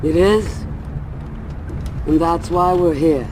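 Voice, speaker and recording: A man's voice: on the high side, slow pace. A man playing a scheming, menacing warlord master in a video game, speaking theatrically. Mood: cold menace. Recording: heavy background noise.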